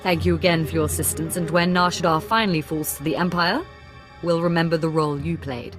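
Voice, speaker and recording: An actress playing a proud, commanding female crime syndicate leader in a video game, deliberate and formal. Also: low-pitched, fairly even intonation, over music, natural voice. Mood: formal, composed. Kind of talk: video game character acting.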